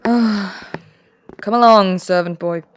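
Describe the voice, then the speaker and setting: A woman voicing an aloof, aristocratic immortal prince in an audio roleplay drama, speaking in a cool, commanding tone with soft murmured asides. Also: medium pitch, slow pace, a little hiss or hum, natural voice. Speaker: voice actor.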